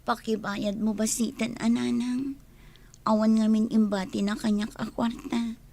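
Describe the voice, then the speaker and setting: A woman's voice: on the high side, flat delivery, measured pace, a little mellow. A young woman with an Ilocano accent acting in a radio drama as a pleading yet stubborn unwed young mother. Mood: subdued pleading. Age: young adult. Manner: emotional, plaintive.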